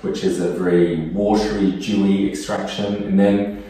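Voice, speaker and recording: Man, very low voice, native cantonese speaker, heard from a distance in a very echoey office. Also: fairly even intonation, moderate pace, warm tone, slight background noise. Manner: thoughtful, enthusiastic, some restarts. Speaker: perfume brand co-founder. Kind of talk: conversational interview. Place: office room. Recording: echoey room, distant mic.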